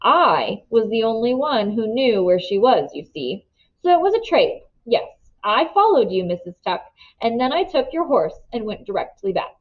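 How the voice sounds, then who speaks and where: Woman reading as a smug, petulant villain, swooping pitch. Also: very animated, moderate pace, highs cut off. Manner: smooth talk turning higher, petulant and shrill. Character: smug, greedy man with a thin, petulant politeness.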